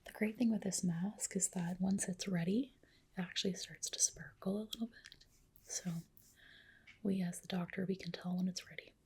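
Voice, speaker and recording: A woman whispering softly and breathily in a soothing, reassuring ASMR roleplay as a dermatologist, close to the microphone. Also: lowish voice, steady tone, slight background noise. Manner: soothing, reassuring, unhurried explanations.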